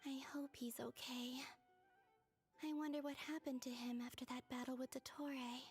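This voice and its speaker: Gentle young game heroine, high voice, hushed and breathy, anxious, earnest, music behind. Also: female voice, fairly even intonation, moderate pace. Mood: worried, hopeful. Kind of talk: video game voice acting.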